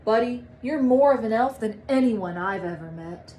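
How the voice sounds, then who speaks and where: Storybook old Santa female reader: troubled, kindly, deliberate, higher register, noisy recording. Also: lively intonation, warm tone, close to an everyday voice. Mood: worried, kindly. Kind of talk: children's storybook read-aloud.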